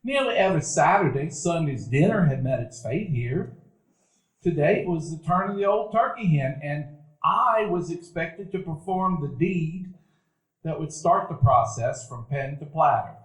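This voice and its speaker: A man's voice: a little high, animated. A man voicing a reflective grown narrator. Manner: measured, reflective storytelling. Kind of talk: radio play narration. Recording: roomy event hall.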